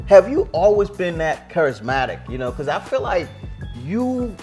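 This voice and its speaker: A man's voice: sits high, big pitch swings, moderate pace, a little mellow. A young man hosting a casual interview, full of friendly slang and easy banter. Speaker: interviewer host. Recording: music clearly under the voice.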